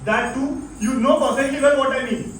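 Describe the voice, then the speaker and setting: A man's voice: very high voice, normal speed, fairly bright tone. An actor with an Indian accent playing a stern, conservative older father in a stage play, speaking formally and admonishingly. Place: indoor theatre stage. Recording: echoey stage recording, distant mic, faint noise.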